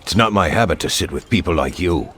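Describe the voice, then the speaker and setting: Gruff cop, low voice, blunt and wary, swings his tone a lot. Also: animated, a little fast, fairly bright tone, exaggerated voice. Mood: stern. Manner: blunt, tough street talk. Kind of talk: video game cutscene acting.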